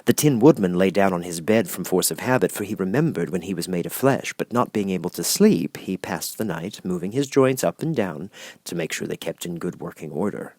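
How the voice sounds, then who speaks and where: A man reading scripted storybook narration as an audiobook narrator, in measured, clear phrases. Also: low voice, animated, darkish tone, no room sound.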